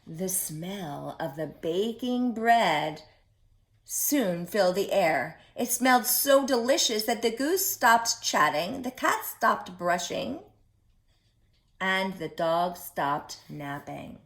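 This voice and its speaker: A woman reading a storybook aloud to young children, deliberate, pausing to put questions to her listeners. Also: medium pitch, lively intonation, a bit bright.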